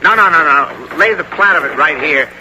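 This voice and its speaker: Radio drama part: plain-spoken rural builder, friendly under the gruffness, higher register, clearly noisy vintage sound. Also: male voice, expressive, brisk, bright, forceful tone. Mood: patient, instructive. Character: gruff but friendly rural construction workman. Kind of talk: 1970s radio drama acting. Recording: noisy old radio recording.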